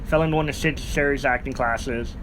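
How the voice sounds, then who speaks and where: A man with a deep voice, a podcast guest who is an actor, chatting casually and rambling with lots of fillers. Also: fairly even intonation, brisk, noisy background. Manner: rambling, hesitant, many fillers. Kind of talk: casual chat.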